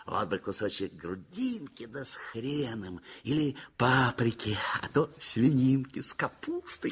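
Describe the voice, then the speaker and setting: An older man narrating a 1960s radio play in an expressive storytelling manner. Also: fairly high pitch, slightly rough. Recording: old radio play with faint music.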